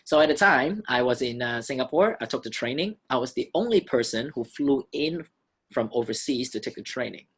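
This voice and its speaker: A man in his thirties from Hong Kong tells his own story in a relaxed, chatty vlog. His voice sits at an ordinary pitch for a man, and he talks in a friendly way with the odd "um". He is speaking in his gym. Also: brisk, slightly rough. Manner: friendly, conversational storytelling with fillers. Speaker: fitness instructor and channel host. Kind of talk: casual self-introduction vlog.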